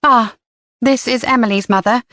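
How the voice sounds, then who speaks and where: A woman's voice: a little high, normal speed, a bit bright, no room sound, studio quality, pitched above the performer's own voice, natural voice. Woman playing a polite female head teacher.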